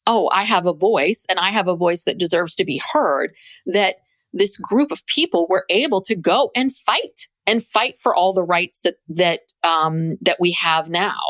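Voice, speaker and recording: A woman's voice: fairly low pitch, unhurried, a bit bright, no room sound. A woman co-hosting a podcast, chatting casually and animatedly, laughing often and restarting her sentences. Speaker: podcast co-host.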